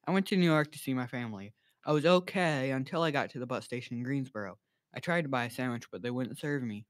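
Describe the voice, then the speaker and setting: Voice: mid-range voice, fast, no room sound, close to an everyday voice. A student actor playing a confident, level-headed teenage college boy in an amateur radio drama. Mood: frustrated.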